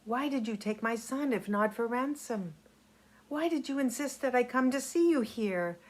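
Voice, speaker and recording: A woman's voice: fairly high pitch, lively intonation, normal speed, darkish tone, voice pushed up. A woman voicing a proud, protective nobleman father in a folk tale, speaking formally and with emphasis. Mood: puzzled.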